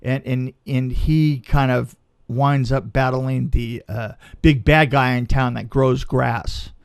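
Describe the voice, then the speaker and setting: A man's voice: mid-range voice, steady tone, takes it slowly, vocal fry, clear, resonant tone. A man telling a casual anecdote as a film crew guest, stammering and repeating words as he goes.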